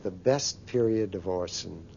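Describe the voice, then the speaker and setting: Man, gravelly mellow voice, thoughtful, reflective interview, dull recording. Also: low voice, measured pace. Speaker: interviewee recalling a colleague. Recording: dull archival interview with faint noise.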